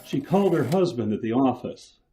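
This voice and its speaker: Male narrator, mid pitch, mellow dark tone, measured radio-play delivery. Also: lively intonation, a little hiss or hum. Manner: measured storytelling.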